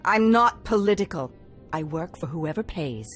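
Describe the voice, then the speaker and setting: A woman's voice: fairly low pitch, animated, moderate pace, faint music behind, natural voice. A woman playing a blunt, defiant mercenary assassin in a video game. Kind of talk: video game cutscene acting.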